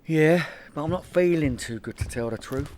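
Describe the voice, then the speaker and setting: A man's voice: on the high side, moderate pace, noisy background, lightly acted. An actor playing a hapless small-time criminal and family man with a London accent in a comedy radio play. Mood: resigned. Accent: London English. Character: hapless petty criminal husband and dad, lying dreamer.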